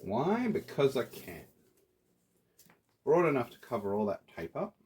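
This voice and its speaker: A man's voice: mid-range voice, huge pitch swings, a little slow, a little mellow, slight background noise. A playful Australian man chatting to viewers as he builds a theatre prop. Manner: playful, chatty, talks to viewers while working. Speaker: prop maker. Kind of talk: casual workshop tutorial.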